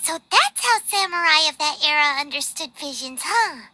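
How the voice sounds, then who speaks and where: A woman voicing a small, childlike fairy companion in a video game, squeaky, cute and earnest. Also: expressive, moderate pace, bright, forceful tone, slight background noise, full character voice. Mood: curious. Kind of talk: video game dialogue acting. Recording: game music faintly behind.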